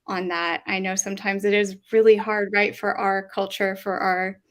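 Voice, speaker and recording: A woman's voice: medium pitch, flat delivery, moderate pace. A woman speaking informally as a panelist in an online community discussion.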